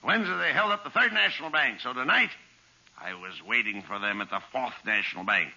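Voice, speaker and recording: An actor playing a flustered, bumbling police lieutenant with a gravelly, excitable voice in 1940s radio comedy style. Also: male voice, medium pitch, brisk. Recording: dull old radio transcription.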